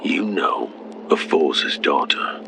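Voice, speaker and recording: An actor playing a humble, lovestruck titan in a fantasy audio drama, speaking with hesitant, breathy earnestness. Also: male voice, lively intonation, slow, noisy background, exaggerated voice. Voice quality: very deep, breathy, gravelly. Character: gentle, lovestruck male titan, humble and shy.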